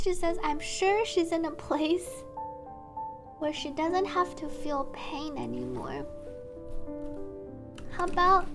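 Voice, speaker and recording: A woman livestreamer reading game text aloud and adding chatty asides, with game music behind her. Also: extremely high pitch. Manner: reads story text slowly, then chatty asides. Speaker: streamer, VTuber.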